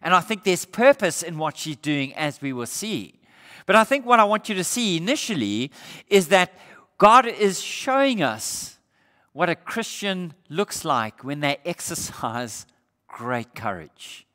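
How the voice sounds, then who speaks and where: A middle-aged male pastor from Australia preaching a sermon on a church stage, asking the congregation rhetorical questions. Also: fairly high pitch. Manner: animated preaching with rhetorical questions, gesturing while standing. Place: church sanctuary stage, indoors. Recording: reverberant church hall.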